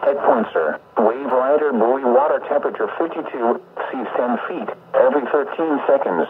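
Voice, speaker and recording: A man's voice: medium pitch, sing-song, moderate pace. Synthetic male weather announcer, tinny radio sound. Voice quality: synthetic text-to-speech voice. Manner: formal, clipped item-by-item delivery. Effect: radio broadcast transmission. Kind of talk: automated scripted weather report reading. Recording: narrow-band, faintly noisy weather radio.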